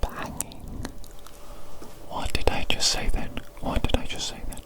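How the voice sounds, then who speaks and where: A performer voicing an evil doctor in a whispered ASMR medical roleplay, with breathy, formal, repetitive and deliberate phrasing. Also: natural voice. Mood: patient, instructing. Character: evil doctor, doting on creature patient, gleefully vengeful.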